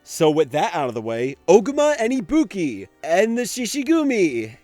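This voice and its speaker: A man's voice: high voice, huge pitch swings, moderate pace, darkish tone, dry recording, soft background music. A man giving casual scripted commentary for a video essay, in an informal, conversational way with the odd swear word.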